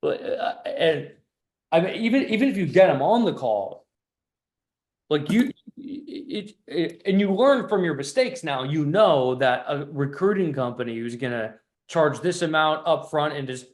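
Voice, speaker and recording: This is a man with a fairly high-pitched voice talking like a business coach on an informal call. He is blunt and swears freely. His delivery is emphatic and choppy, often restarting and repeating phrases. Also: animated, a little slow. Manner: blunt, profane; emphatic, repetitive stop-start delivery.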